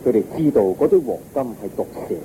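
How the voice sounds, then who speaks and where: An actor playing a calm, compassionate holy teacher, speaking in measured, solemn Hong Kong Cantonese in a radio drama. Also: male voice, mid-range voice, noisy background, natural voice. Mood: calm, explaining.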